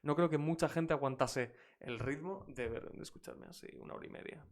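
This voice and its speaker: A man's voice: a little high, quick pace. A man from Spain, a native Spanish speaker with a British-leaning English accent, chatting energetically on a live stream as an English teacher and reacting to clips.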